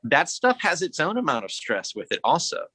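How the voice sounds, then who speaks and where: Bright-voiced man talking earnestly, close and dry. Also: fairly high pitch, huge pitch swings, normal speed, husky, dry recording. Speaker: guest actor. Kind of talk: reflective podcast conversation.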